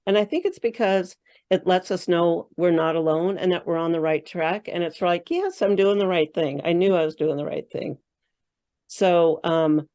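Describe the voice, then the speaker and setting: A woman's voice: lowish voice, warm tone. A woman who is a spiritual coach, chatting as a guest in an interview and thinking aloud with frequent fillers and restarts. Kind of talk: casual interview chat.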